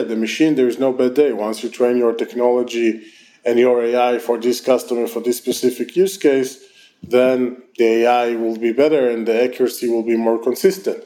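Man, Israeli accent, mellow warm timbre, quick loose phrasing, remote call. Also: mid-range voice. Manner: fast, loose phrasing with frequent restarts. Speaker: company founder and CEO. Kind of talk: casual interview answers. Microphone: remote online call.

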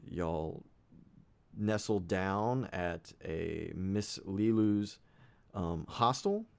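A man hosting and narrating a tabletop game as dungeon master, slightly raspy from fighting a cold, casual with many fillers. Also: deep voice, darkish tone. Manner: casual, with frequent fillers and restarts.

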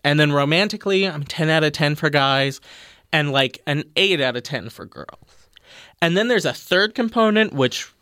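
A man's voice: a little high, moderate pace, clean tone, no echo, studio quality. A young man with a slight lisp, talking earnestly and expressively in a casual panel discussion. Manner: expressive, animated, explaining earnestly. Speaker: panel guest.

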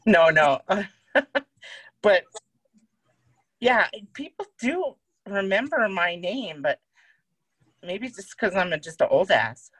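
Woman podcast co-host, lower voice, lively pitch, dry close recording. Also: slow, pauses often, dry recording, poor audio. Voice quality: deeper voice. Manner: self-deprecating storyteller. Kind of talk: casual chat.

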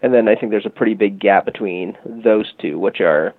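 Guest interviewee: lowish gravelly male voice, casual explainer, dry phone-line sound. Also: moderate pace, no echo. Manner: relaxed, frequent fillers like "you know" and "um". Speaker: guest, music marketing tech company founder. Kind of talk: conversational interview, explaining a product.

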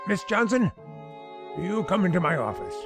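An actor playing a blustering, fatherly older boss in a radio sitcom, gravelly and husky, stammering and repeating himself. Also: high-pitched, lively intonation, moderate pace, music in the background. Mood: jovial, pleased.

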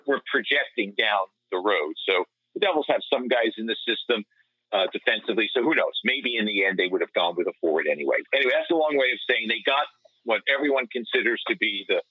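A man's voice: lowish voice, no room sound. A man giving conversational sports analysis over a remote line, explaining at length with small digressions, like a broadcaster on a panel. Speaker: hockey radio play-by-play announcer, podcast panelist. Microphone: remote call. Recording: dull lo-fi call-in line.

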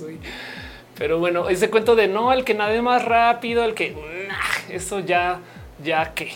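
A young woman from Colombia with a slightly low-pitched voice hosts a live stream. She chats loosely and playfully, laughing easily as she reacts to viewers. Faint music plays softly beneath her voice. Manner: chatty and playful, laughs often. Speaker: livestream host. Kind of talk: casual live-stream chat reading comments.

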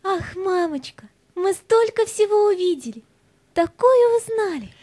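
Eager little girl, extremely high voice, unhurried, emphatic, fairy-tale audio drama, close dry sound. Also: expressive, warm tone, dry recording. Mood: eager, earnest.

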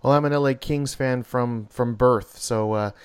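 A man's voice: medium pitch, normal speed, a crowd in the background. A man hosting a podcast interview, enthusiastic and jokey, laughing often. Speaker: podcast host. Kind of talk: casual interview chat.

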